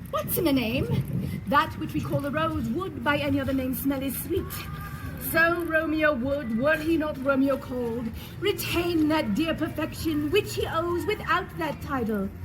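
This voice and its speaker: A woman performing as a dramatic, declaiming heroine in a comic stage play. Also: high-pitched. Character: dream woman playing a swooning Juliet and a pestering student. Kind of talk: theatrical one-act stage comedy acting. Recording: noisy live stage recording.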